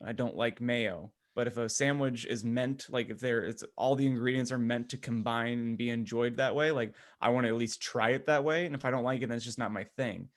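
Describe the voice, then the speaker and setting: A man co-hosting a podcast in casual chat, speaking haltingly as he thinks aloud. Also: medium pitch, fairly even intonation. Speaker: podcast co-host.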